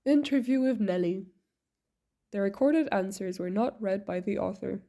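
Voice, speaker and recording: A woman hosting a podcast, reading scripted interview questions plainly and clearly. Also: medium pitch, normal speed, warm tone.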